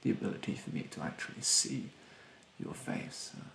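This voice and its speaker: A young man doing a soft-spoken ASMR roleplay in a breathy, hushed voice, politely snide and condescending with drawn-out, hesitant phrasing. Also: echoey room, a little hiss or hum. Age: young adult. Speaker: ASMR performer playing a rude facial therapist. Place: bedroom, indoors.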